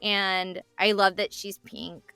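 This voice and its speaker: A woman's voice: mid-range voice, flat delivery, slow, gravelly, pushed and bright, smooth, dry recording, faint music behind. A woman voice actor speaking with enthusiasm about a character she voices, in an interview. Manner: enthusiastic, affectionate. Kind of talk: interview recollection.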